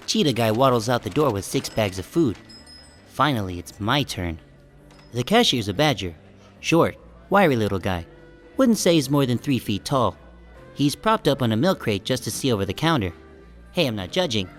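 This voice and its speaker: Audiobook lead: wry, deadpan young sheep narrator male actor, self-conscious, conversational, mid pitch. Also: normal speed, faint music behind, close to an everyday voice. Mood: wry, musing. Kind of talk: first-person audiobook narration.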